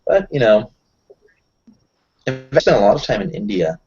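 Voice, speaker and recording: A man's voice: on the low side, big pitch swings, unhurried, darkish tone, breathy edge, dull audio. A man chatting casually as a player in a tabletop roleplaying group, explaining things in a discursive way. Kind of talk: casual in-character group chat.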